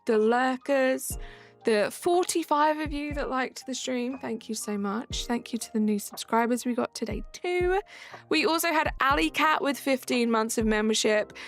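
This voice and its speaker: Chatty young woman streaming over soft music. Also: high voice. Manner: chatty, reads and answers chat while playing. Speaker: live streamer. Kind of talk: casual live stream chat with viewers. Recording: faint background music.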